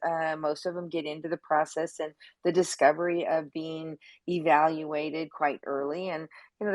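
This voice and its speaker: A woman's voice: on the low side, dry recording. A woman from the United States hosting an unscripted panel discussion. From United States, New Jersey. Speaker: host, student athlete advocate.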